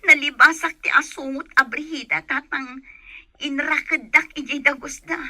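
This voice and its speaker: A woman playing a frightened, desperate young woman in a radio drama, often breathy and urgent. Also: sits high, steady tone, moderate pace, sharp tone, no echo. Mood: anxious, pleading. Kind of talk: Ilocano radio drama acting.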